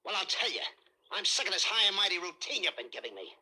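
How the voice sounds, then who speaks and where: An actor playing a cynical, cocky private detective in a vintage radio suspense drama, slangy and needling. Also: male voice, high voice, very animated, fast, bright, forceful tone, slightly rough, heavily acted. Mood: indignant boasting. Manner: slangy, tough-talking, needling.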